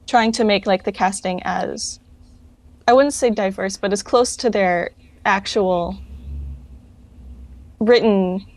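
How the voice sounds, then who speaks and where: A woman's voice: mid-range voice, slow pace, pauses often, darkish tone. A woman answering interview questions casually, in an informal, rambling way that often corrects itself. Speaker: interview guest, fan project founder. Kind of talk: casual chat.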